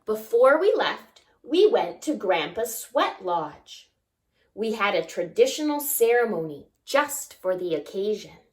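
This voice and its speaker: Very animated, slow-paced woman reading to children. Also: medium pitch. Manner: expressive, acts out sounds and asks the listeners questions. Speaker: story time reader. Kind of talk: animated children's picture-book read-aloud with asides to young listeners.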